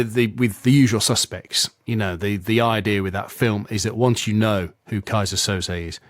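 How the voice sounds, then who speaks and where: A man's voice: on the low side, dry recording. Male radio host, dry studio sound. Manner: friendly, affirming, rambling questions. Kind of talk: radio interview.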